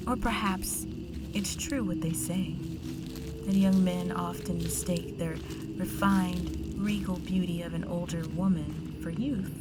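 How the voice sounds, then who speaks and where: Woman playing a slow, silky, low-pitched vampire countess. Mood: flirtatious. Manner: teasing, condescending, elegant, slow and deliberate. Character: seductive, possessive ageless vampire countess. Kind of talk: roleplay audio drama monologue. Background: music.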